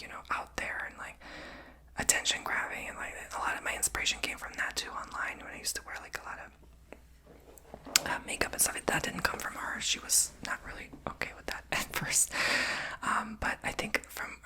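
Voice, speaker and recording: A speaker whispering throughout in a breathy voice, telling personal stories in a rambling, candid, chatty way for ASMR. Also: pushed and bright, poor audio. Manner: rambling, chatty, many fillers; confessional and candid.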